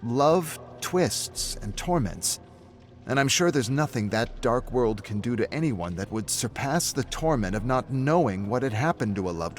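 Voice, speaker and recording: Narrator playing a brooding, impassioned man, lowish voice. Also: a little hiss or hum. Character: brooding, impassioned man tormented by lost love.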